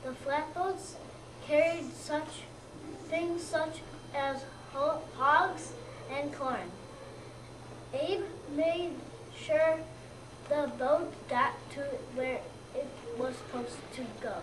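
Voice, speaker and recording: A young child of about seven or eight, a second grader, reading a scripted narration aloud in a careful, halting way. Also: high voice. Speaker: second-grade student reader. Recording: roomy, distant mic, noisy background.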